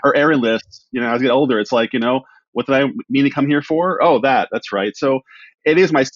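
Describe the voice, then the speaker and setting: A man's voice: medium pitch, quick pace, dry recording. Chatty self-deprecating man, dry dull recording. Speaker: podcast guest, writer. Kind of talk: casual interview chat.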